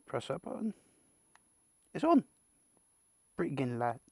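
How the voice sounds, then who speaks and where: Casting: British man, mid-pitched, amateur vlogger talking through an unboxing off the cuff, rambling and a bit sweary, dry close sound. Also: huge pitch swings, a little slow, vocal fry, husky, no room sound. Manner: rambling, muttering asides to himself, mildly sweary. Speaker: amateur video creator presenting an unboxing. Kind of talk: casual unscripted product unboxing chat.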